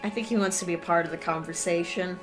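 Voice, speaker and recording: A woman's voice: on the low side, steady tone, fast talker. Woman streaming a game over music. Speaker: streamer playing an online game. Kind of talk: casual game commentary mixed with reading dialogue aloud. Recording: music playing behind the voice.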